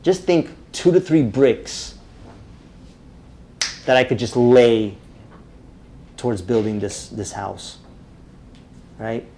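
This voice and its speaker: A man from Romania, teaching a live public speaking workshop, energetic and often checking in with the group. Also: mid-range voice, slow, pauses often, a little hiss or hum. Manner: energetic, checks in with "right?" constantly.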